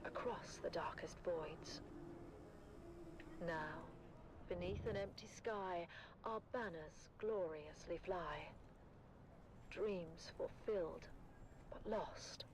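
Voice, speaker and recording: Slow, solemn poet over loud noise. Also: female voice, on the low side. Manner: solemn, measured. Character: solemn poet reciting a mournful elegy. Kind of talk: slow poetic recitation.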